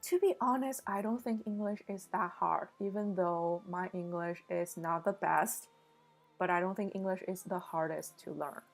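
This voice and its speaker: A woman's voice: on the low side, moderate pace, creaky, faint music behind. A young woman from Beijing, chatting casually with viewers on a game stream and often using "like" as a filler. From Beijing, China. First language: Mandarin Chinese. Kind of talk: casual live-stream chat.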